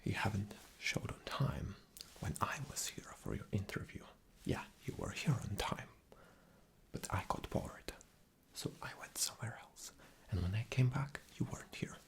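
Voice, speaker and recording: A man playing an arrogant, rude boss in a whispered ASMR interview roleplay, breathy, condescending and dismissive. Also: lowish voice, moderate pace. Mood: impatient, condescending.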